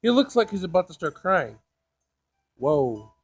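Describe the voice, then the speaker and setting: Male gaming host, slightly high voice, slangy, reads story text aloud with casual commentary. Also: very animated, measured pace, husky. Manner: informal, slangy. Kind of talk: casual visual novel let's play, reading aloud.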